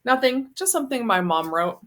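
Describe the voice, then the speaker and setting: A woman's voice: on the high side, very animated, fairly quick, fairly bright tone, pitched above the performer's own voice. A woman voicing an awkward, earnest teenage boy who explains things hesitantly in a story reading. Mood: awkward, explaining.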